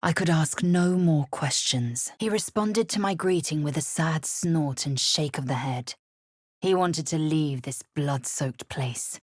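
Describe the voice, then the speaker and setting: A woman's voice: deep voice, measured pace, a little mellow, breathy edge, no echo, clean studio sound. An actress playing a proud, fierce alien warrior woman in a video game, with a clipped, formal and haughty delivery. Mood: grave, recounting. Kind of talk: video game character voice acting.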